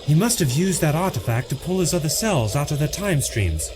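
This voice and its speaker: An actor playing an earnest, commanding time-traveler hero in an audio drama, authoritative and excitable. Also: male voice, on the high side, a little fast, background noise. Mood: grave.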